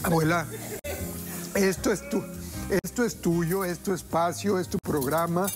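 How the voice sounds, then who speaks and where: A man's voice: sits high, fairly even intonation, unhurried, over music. A middle-aged man hosting a TV cooking show, chatty and folksy, talking to viewers while he cooks. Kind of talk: cooking demonstration. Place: TV studio kitchen set.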